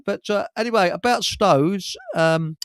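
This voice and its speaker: A man's voice: sits high, measured pace, smooth, no echo. An older man, chatting casually as a radio host and chuckling through rambling anecdotes. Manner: jovial, chuckles through his stories.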